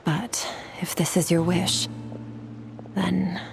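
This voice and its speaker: A woman's voice: lowish voice, level tone, takes it slowly, creaky, slight background noise. An actress voicing a cool, detached young woman warrior who speaks curtly, in a video game. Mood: somber. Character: cold, aloof young woman warrior, haunted survivor.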